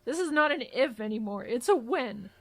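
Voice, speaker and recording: Weary caregiver daughter, worried and emphatic. Audio drama, high-pitched voice. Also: female voice, animated, fairly bright tone. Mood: exasperated, upset. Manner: brisk, emphatic, fraught.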